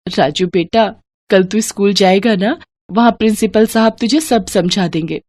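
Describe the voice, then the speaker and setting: Devout, loving poor mother for a moral tale, played by a woman, earnest and tender, medium pitch. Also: normal speed, darkish tone, dry recording, clean studio sound, close to an everyday voice.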